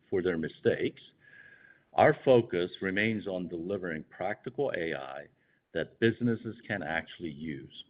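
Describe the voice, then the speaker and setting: Male executive, low-pitched, formal and measured scripted investor-call read, slightly muffled band-limited audio. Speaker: company executive. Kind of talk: scripted earnings call remarks.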